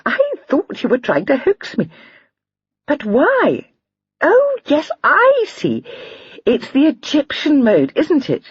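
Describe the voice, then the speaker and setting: A woman voicing a bubbly, breathy young lady. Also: sits high, huge pitch swings, takes it slowly, no room sound, highs cut off, pitched above the performer's own voice, full character voice. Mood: chattering. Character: lively excitable young lady, teasing and girlish.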